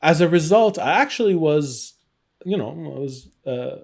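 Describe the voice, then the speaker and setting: A man's voice: a little high, expressive, a little slow, creaky. Candid middle-aged man in conversation. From born in Iraq. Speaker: interview guest, game designer. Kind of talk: reflective personal interview, conversational storytelling.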